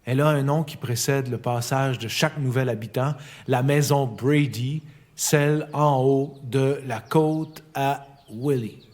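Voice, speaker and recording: A man with a Quebec French accent reading a personal letter aloud in a calm, reflective, intimate way. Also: mid-range voice, a little slow. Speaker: letter writer. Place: recording studio. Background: faint music.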